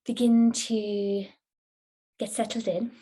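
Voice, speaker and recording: A woman teaching a yoga nidra class, giving guided relaxation instructions in a gentle, unhurried, soothing manner. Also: fairly high pitch, flat delivery.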